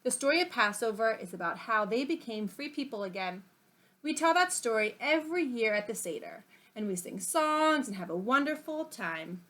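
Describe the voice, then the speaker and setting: A woman voicing a patient, helpful puppet friend in a children's storybook, explaining things earnestly and brightly. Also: fairly high pitch, animated, normal speed.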